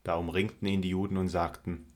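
A man's voice: low voice, flat delivery, a little fast. A man reading scripted narration for a Bible audio drama.

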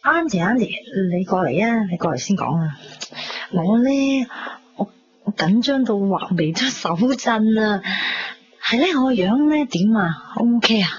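A woman's voice: medium pitch, animated, unhurried, natural voice. Actress as a bubbly young Cantonese woman, muffled sound. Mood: excited, coaxing. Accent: Hong Kong Cantonese. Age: young adult. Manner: chatty, gushing, playful. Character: bubbly, loyal young woman, teasing best friend. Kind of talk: 1990s Hong Kong radio drama acting. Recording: dull 1990s radio recording, background noise.

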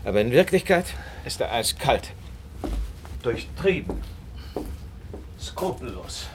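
Incisive gentleman sleuth, radio crime drama, highly varied intonation, measured, courteously condescending, faint noise behind. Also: big pitch swings. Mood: grave, dramatic.

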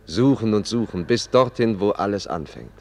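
Radio drama role: measured, enigmatic poet escort, urging and polite, lower register, strong echo. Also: male voice, lively intonation, gravelly. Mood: solemn, urging. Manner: formal, measured, solemn. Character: male poet-guide Antonio, courteous, cryptic, urging his companion onward. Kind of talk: German radio play acting. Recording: echoey hall reverb, faint music.

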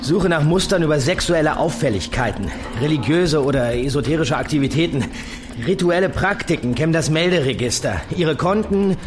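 A man's voice: on the high side, expressive, normal speed, background noise, close to an everyday voice. An actor playing a demanding detective who gives terse orders to his assistant in a radio play. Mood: commanding. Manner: terse, commanding. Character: demanding male detective running barefoot in a desert. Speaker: runner giving orders to his AI.

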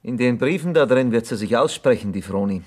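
An actor playing a sober, probing confidant in a German radio drama, speaking in a measured, matter-of-fact way. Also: male voice, mid-range voice, expressive, a little mellow, natural voice. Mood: grave, calm. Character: sober, probing male confidant to a farm boy.

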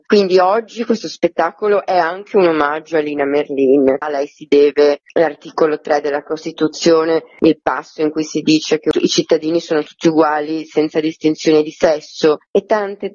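A woman's voice: low-pitched, darkish tone, no echo, muffled recording. A woman explaining her theatre project in an interview, discursive and emphatic, often checking in with little tag questions. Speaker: actress and author being interviewed.

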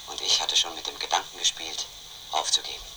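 A man's voice: rumbling low, little pitch movement, fairly quick, noisy background. An actor playing a brooding, obsessed man who narrates his own investigation in a radio play, intense and questioning himself. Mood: brooding, agitated.

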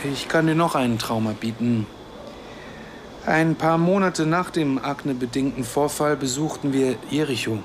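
A man's voice: medium pitch, lightly acted. Actor as earnest, wry Palestinian man, noisy ambience. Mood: playful. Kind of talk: naturalistic German radio drama acting.

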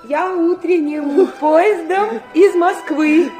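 A woman's voice: very high-pitched, takes it slowly, a little mellow, soft background music. An actress playing an old prince's grown daughter, a society lady, in a radio play. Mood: bright greeting.

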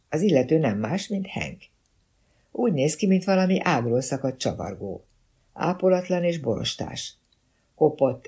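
A male narrator reads detective fiction in a husky, mellow voice. His pitch sits in the middle range, and the delivery is that of scripted first-person storytelling. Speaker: audiobook narrator.

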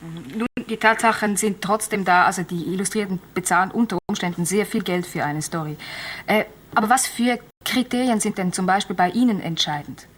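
A woman's voice: medium pitch, fairly quick. A woman hosting a radio show, questioning a caller in a probing, persistent way. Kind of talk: radio interview.

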